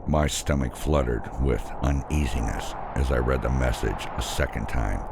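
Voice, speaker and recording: A man narrating a scripted first-person horror story in an ominous, deliberate way. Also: very deep voice, gravelly, background noise.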